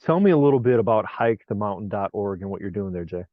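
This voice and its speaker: A man's voice: lowish voice, expressive, fast, no echo. A man hosting a podcast, interviewing in a conversational style. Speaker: podcast host. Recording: dull, lo-fi close recording.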